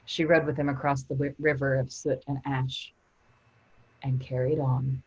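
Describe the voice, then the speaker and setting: A woman playing a determined, grieving traveller in the land of the dead, in an earnest, deliberate staged reading. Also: extremely low pitch, level tone, a little mellow, breathy edge, muffled recording, exaggerated voice. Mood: solemn. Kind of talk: staged reading with dramatic narration.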